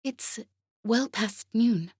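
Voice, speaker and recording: A woman voicing a brisk, pleasant female comrade with quiet concern in an audiobook. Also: fairly high pitch, husky, dry recording, studio quality, voice pushed up, heavily acted. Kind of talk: audiobook character dialogue.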